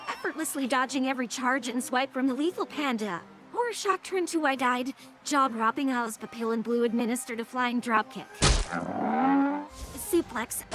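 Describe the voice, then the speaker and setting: A woman narrating a scripted story as the narrator of an audio drama. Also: a little high. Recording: clearly noisy background.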